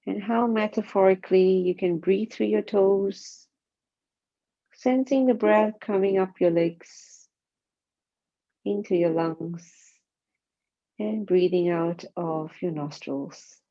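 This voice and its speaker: A woman guides a body scan meditation, speaking calmly and gently in short, spaced phrases. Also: medium pitch, takes it slowly, long pauses. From Singapore. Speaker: mindfulness meditation teacher. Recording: dull, muffled lo-fi sound.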